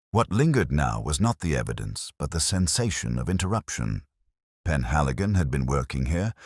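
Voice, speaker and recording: Man acting as pensive melancholy inspector telling his case, super low voice, rises and falls a lot, dry studio sound. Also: no echo, heavily acted. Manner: measured, pensive, literary. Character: melancholy, reflective older male police inspector narrating his case. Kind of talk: scripted first-person mystery audio drama narration.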